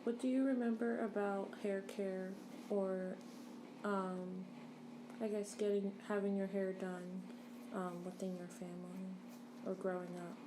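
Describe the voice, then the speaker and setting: A woman's voice: mid-range voice, little pitch movement, measured pace, noisy background. A young woman interviewing, asking a question hesitantly, searching for words. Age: young adult.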